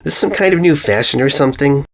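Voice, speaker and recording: Man as skeptical tomboy girl pony, storybook character, casual, blunt, lively intonation. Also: on the high side, fast, creaky, voice pushed up. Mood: startled. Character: brash, skeptical young tomboy schoolgirl pony.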